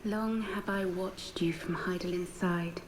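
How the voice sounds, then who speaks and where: A woman playing a gentle, serene noblewoman in a video game, with tender, softly breathed archaic speech. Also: on the low side, steady tone, measured pace, lightly acted. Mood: gentle, solemn. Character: gentle, serene, self-sacrificing noblewoman with sacred bearing. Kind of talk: scripted video game voice acting. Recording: echoing voice, faint background noise.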